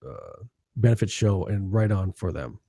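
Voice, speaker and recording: A man's voice: sits low, lively intonation, moderate pace, mellow, no echo, studio quality. A man co-hosting a casual live chat show, quizzing his partner on a song countdown in a laid-back, chummy way.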